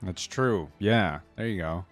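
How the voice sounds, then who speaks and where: A man's voice: low voice, a little slow, soft background music. A man giving casual, slangy reaction commentary as a video host, with appreciative interjections.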